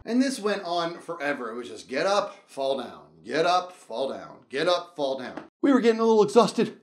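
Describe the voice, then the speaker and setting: An excitable man with a high voice tells a personal story for laughs. His delivery swings widely up and down as he dramatically acts out reactions and dialogue. The room adds noticeable echo around him. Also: moderate pace. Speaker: storyteller, show host. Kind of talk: animated comedic personal storytelling.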